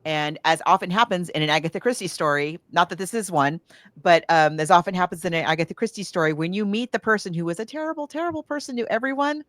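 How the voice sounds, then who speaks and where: A woman's voice: lowish voice, fast, no room sound, studio quality. A woman co-hosting a podcast, chatting casually about film in an emphatic, conversational way. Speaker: podcast co-host. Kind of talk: casual film discussion, recapping a plot.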